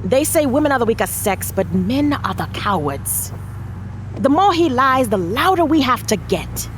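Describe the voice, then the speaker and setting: A woman's voice: on the high side, very animated, normal speed, slight background noise. An actress playing a determined, frustrated American congresswoman in an audio drama, emphatic and plain-spoken, with an American accent. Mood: indignant. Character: determined, frustrated congresswoman and wife with presidential ambition.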